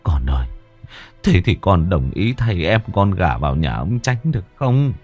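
Man doing a weeping old father, very low, slow and pleading. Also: sing-song, a little mellow, faint music behind. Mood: tearful begging. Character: broken older village father, weeping and begging.

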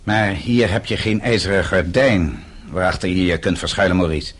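An actor playing a weary, defiant older father in a radio play, husky, curt and restrained. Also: low voice, normal speed. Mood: weary defiance.

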